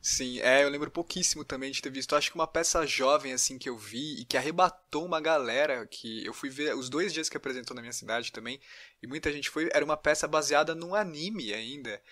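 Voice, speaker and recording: A man's voice: fairly high pitch, brisk, sharp tone. A Brazilian man hosting a casual podcast interview, informal and thinking aloud as he goes.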